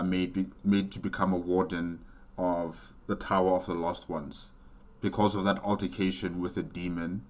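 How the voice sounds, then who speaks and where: A man giving casual reaction commentary as host, halting as he thinks aloud. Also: sits low.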